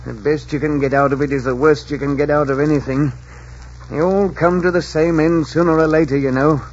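A man's voice: fairly high pitch, fairly even intonation, brisk, darkish tone, lightly acted. An actor playing a worried, talkative old innkeeper and father in a 1940s radio drama, fond and plain-spoken in manner. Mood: worried, pleading. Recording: muffled, faintly noisy 1940s radio recording.

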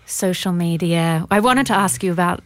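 Lower-pitched woman hosting, dry close sound. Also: moderate pace, dry recording. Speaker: podcast host interviewing an author. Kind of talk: casual conversational interview.

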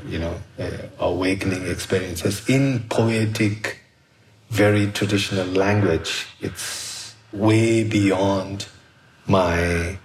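Low-pitched, unhurried Nepali-accented man. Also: slight background noise, low-quality recording. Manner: thoughtful, halting phrases. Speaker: artist being interviewed. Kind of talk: reflective personal storytelling.

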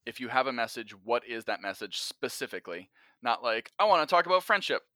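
A man's voice: medium pitch, sing-song, fairly quick, sharp tone, dry recording, studio quality. A man talking in an emphatic, instructive way as a guest giving advice in a conversational interview. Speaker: podcast guest, writer and teacher.

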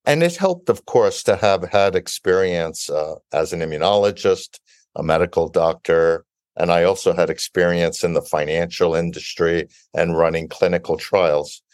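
Deep-voiced older man from Brooklyn. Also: normal speed, darkish tone, no echo, clean studio sound. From Italy-born, raised in Brooklyn, New York. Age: 50s or older. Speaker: interview guest, biotech founder and CEO. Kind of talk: measured interview answers.

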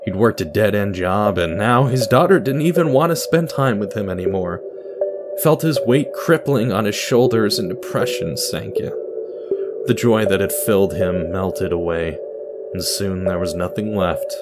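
A man's voice: fairly low pitch, lively intonation, moderate pace. A man reading scripted, dramatic story narration as the narrator and host of an audio drama. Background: music.

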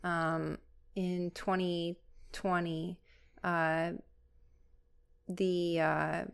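A woman working as a nurse, answering interview questions in a steady, matter-of-fact way. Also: on the low side, extremely slow, creaky, smooth.

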